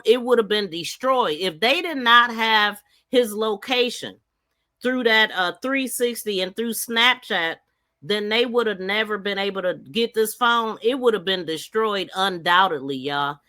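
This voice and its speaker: A woman hosts a casual livestream in a bright, forceful voice. Her talk is folksy and emphatic, recorded close and dry. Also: medium pitch, no echo. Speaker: livestream podcast host. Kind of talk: casual live commentary.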